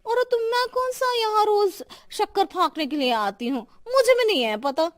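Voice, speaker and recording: A woman's voice: very high voice, animated, moderate pace. A woman voicing a chatty, friendly neighbour aunt in a Hindi cartoon story.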